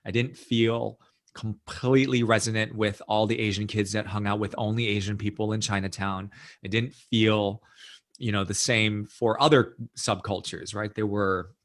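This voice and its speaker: A man from the United States, a guest author, recounting his life in a thoughtful, measured interview, often adding "you know" and "right?". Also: on the low side, fairly even intonation. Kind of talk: reflective storytelling in interview.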